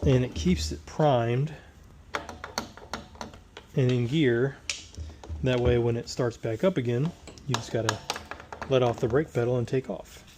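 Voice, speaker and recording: A man with a hoarse voice, recovering from tonsillitis, casually talking through a transmission teardown as he works. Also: mid-range voice, measured pace, pauses often, background noise. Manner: plain-spoken, working while talking. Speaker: transmission rebuilder. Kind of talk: casual shop walkthrough.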